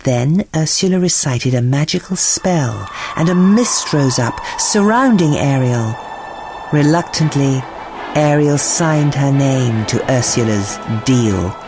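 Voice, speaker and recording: Female narrator for a children's bedtime tale: unhurried, very lively intonation, music underneath. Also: a bass voice. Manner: dramatic, measured, acts out characters. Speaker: storybook narrator. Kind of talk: expressive scripted bedtime story narration.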